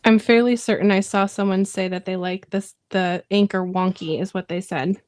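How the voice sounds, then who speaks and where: A woman's voice: mid-range voice, steady tone, normal speed, a little mellow, a little hiss or hum. A woman from Minnesota with a Minnesota accent, co-hosting a craft livestream in an upbeat, enthusiastic, giggly way. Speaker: co-host, former phonics teacher. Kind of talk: casual livestream craft chat.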